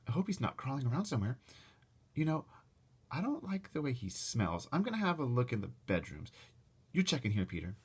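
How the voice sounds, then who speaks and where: A man's voice: mid-range voice, very animated, fairly quick, breathy edge, voice pushed up. A man voicing a fretful, busy mother who scolds and fusses, for an audiobook. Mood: fussing. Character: busy mother, fussy and exasperated.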